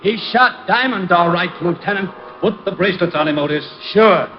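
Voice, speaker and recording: A man's voice: sits high, normal speed, faint music behind. A man playing a bossy, mocking police officer with exaggerated delivery in comic old-time radio. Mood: commanding. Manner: taunting, exaggerated. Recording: muffled vintage radio broadcast.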